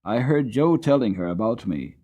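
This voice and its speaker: A man giving a dramatic storybook narration as an audiobook reader, expressive and deliberate. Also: medium pitch.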